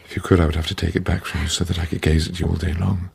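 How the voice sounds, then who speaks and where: An intense, authoritative British doctor in a radio drama. His voice is very husky with a gravelly creak, and he speaks formally at an ordinary male pitch. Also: flat delivery, very fast, darkish tone, exaggerated voice. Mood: tender.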